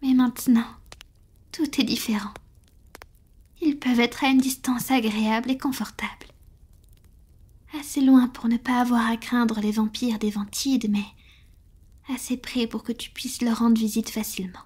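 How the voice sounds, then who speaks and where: A woman playing a tender, devoted female vampire in an intimate roleplay audio, whispering slowly and hesitantly to her lover. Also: a little high, fairly even intonation, darkish tone. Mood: soft, thoughtful. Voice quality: breathy, whispered. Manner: slow, hesitant, affectionate, addressing a lover.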